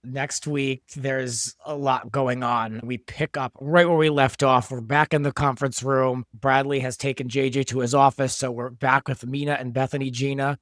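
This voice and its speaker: A man's voice: medium pitch, flat delivery, moderate pace, hardly any pauses, fairly bright tone, dry recording. Man in a dry-sounding podcast interview. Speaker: show creator and podcast guest. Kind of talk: casual interview talk.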